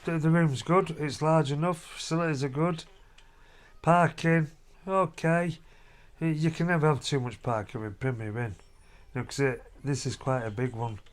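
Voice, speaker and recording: A man's voice: on the high side, steady tone, normal speed. A middle-aged man, a father chatting casually in a family vlog from a hotel room, rambling and down-to-earth. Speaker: vlogger, father.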